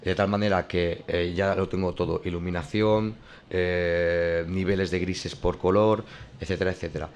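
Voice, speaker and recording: A man's voice: sits low, fairly even intonation, moderate pace, slight background noise. A man who works as a comic artist, explaining his drawing process in a hesitant way, with many restarts and fillers. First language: not French.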